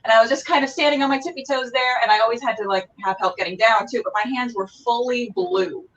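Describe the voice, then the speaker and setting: A woman's voice: fairly high pitch, fast, fairly bright tone, a little hiss or hum. A woman hosting a casual, chatty interview, enthusiastic and approving in how she responds. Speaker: host interviewing a theater company. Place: remote video call. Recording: dull, distant-mic video call.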